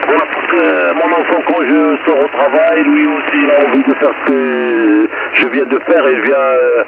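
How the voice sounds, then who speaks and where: A man's voice: mid-range voice, vocal fry. A man from Morocco speaking politely and hesitantly over a CB radio transmission in a casual contact. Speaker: amateur CB radio operator. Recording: noisy narrowband CB radio reception.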